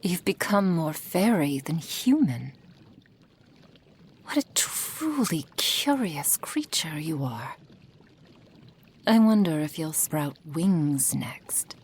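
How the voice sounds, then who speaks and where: A woman playing a possessive, doting kitsune witch in an intimate ASMR roleplay, breathy and often whispering, with a silky, formal manner. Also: low voice, big pitch swings, slow pace, pauses often, a little mellow, exaggerated voice. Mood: curious, fascinated.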